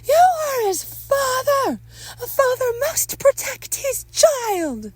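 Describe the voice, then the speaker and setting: A woman's voice: sits high, lively intonation, moderate pace, warm tone, no room sound, voice pushed up. A woman voicing an anxious, doting mother who is sugary, controlling and insistent in a dramatic script reading. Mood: stern. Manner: doting, insistent, emotionally intense.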